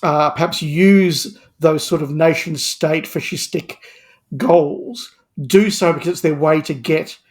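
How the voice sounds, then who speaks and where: A man discussing audio drama on a podcast, speaking emphatically and repeating words as he builds his argument. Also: on the high side. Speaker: podcast panelist.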